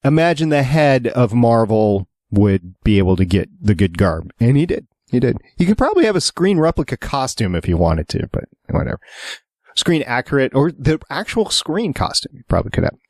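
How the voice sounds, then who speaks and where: A man's voice: mid-range voice, sing-song, normal speed, a little mellow, no echo, clean studio sound. A man hosting a fan podcast, chatting casually in an easygoing, agreeable way as he moves the show along. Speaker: podcast host. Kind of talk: casual chat, moderating segments.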